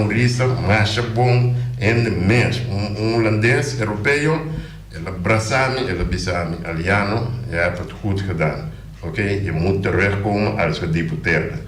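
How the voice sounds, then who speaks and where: A man's voice: fairly low pitch, a bit bright, roomy sound, slight background noise. A man speaking as a politician guest on a radio show, passionate and emphatic, often repeating his words. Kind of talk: radio interview, casual talk. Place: radio studio.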